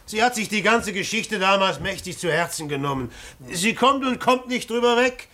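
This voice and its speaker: A man's voice: sits high, expressive, normal speed, pushed and bright. An actor playing an earnest, plain-spoken village man in a German radio drama. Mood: troubled. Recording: noisy background ambience.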